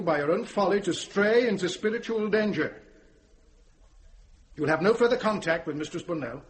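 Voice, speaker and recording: An actor playing a stern, self-righteous monastic superior, speaking pompously in a radio drama. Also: male voice, high voice. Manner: pompous, clipped commands.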